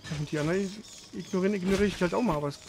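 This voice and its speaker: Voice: mid-range voice, racing, a little mellow. A streamer giving casual live commentary on a video game, thinking aloud and asking questions while playing. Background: game music.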